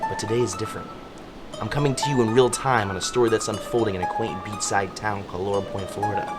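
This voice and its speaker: Man playing a deep-voiced, wry podcast storyteller. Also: steady tone, fast, loud music behind the voice, natural voice. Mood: wry, dramatic. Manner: theatrical, folksy storytelling. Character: chatty, wry male true-crime podcast host. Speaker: podcast host narrator. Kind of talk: scripted true-crime podcast narration.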